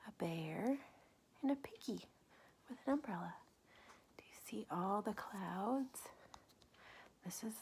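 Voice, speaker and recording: A woman reading a picture book aloud to children like a teacher, gently pausing to ask questions and point things out. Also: medium pitch, slightly rough.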